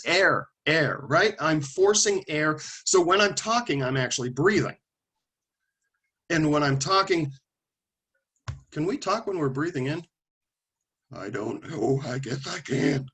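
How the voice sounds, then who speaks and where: A man's voice: a little high, fairly bright tone, slightly rough. A man lecturing a classroom of students, calling on them by name and thinking aloud as he explains. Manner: conversational, thinking aloud with restarts and asides.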